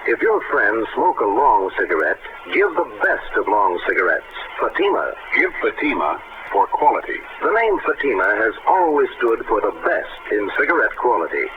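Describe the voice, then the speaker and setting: A man's voice: mid-range voice, very animated, moderate pace. A man announcing a 1940s-style radio advertisement on a noisy, narrow vintage recording.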